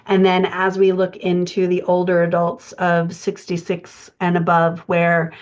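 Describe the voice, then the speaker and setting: A woman coaching writers in an explanatory lecture style, speaking haltingly with pauses as she thinks through her points. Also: lowish voice, completely flat delivery. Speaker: writing coach presenting a podcast lesson. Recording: dull, band-limited audio.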